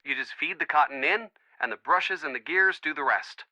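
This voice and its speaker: A man's voice: fairly high pitch, moderate pace, sharp tone, no echo. An actor playing a friendly, earnest young man in a video game, chatty and reassuring as if on a call. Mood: friendly, helpful. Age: young adult. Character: friendly young man, earnest, bookish, supportive boyfriend type. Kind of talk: video game voice acting, phone conversation.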